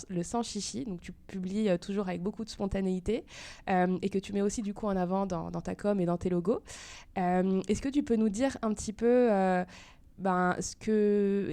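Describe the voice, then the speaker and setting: A woman's voice: mid-range voice, mellow. A woman hosting an interview podcast, introducing the show and talking conversationally with her guest. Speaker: podcast host.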